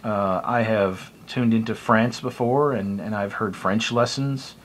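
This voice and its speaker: A man's voice: fairly low pitch, unhurried, a little hiss or hum. Middle-aged American man, rambling and conversational. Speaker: hobbyist vlogger. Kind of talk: casual explainer monologue to camera. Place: small room indoors by a curtained window.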